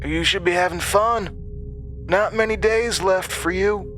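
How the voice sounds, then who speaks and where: A man's voice: high voice, measured pace, fairly bright tone, soft background music, voice pushed up. A man voicing a menacing camp director who feigns friendliness in an audio drama. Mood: veiled menace. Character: smiling, menacing male summer camp director, falsely friendly.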